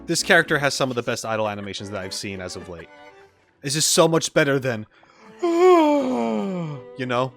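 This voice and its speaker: A man gives casual reaction commentary in informal gamer slang. His mid-pitched voice swings up and down in a very animated way, with faint music behind him. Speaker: host, animator reacting to game videos.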